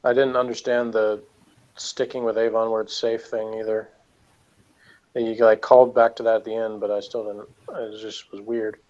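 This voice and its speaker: A man's voice: on the low side, flat delivery, normal speed, a little mellow. Male review co-host, casual and hesitant, grumbling critic, muffled lo-fi recording. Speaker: podcast co-host reviewing television.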